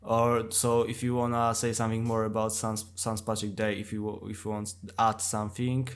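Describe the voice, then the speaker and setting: A man with a non-native English accent co-hosting a casual podcast conversation, speaking haltingly as he searches for words. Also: fairly low pitch, monotone. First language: not English. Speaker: podcast co-host.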